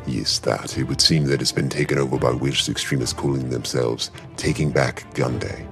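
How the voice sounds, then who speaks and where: Extremely deep, gravelly spy boss played by a man. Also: exaggerated voice. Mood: stern, weary. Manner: solemn, deadpan, slow-burning authority. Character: grave, weary, stern older male spy chief. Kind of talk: animated spy parody voice acting. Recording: music clearly heard behind voice.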